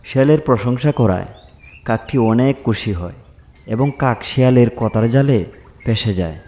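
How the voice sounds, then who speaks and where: A man's voice: mid-range voice, moderate pace, warm tone. A man narrating a scripted animal fairy tale as a storyteller.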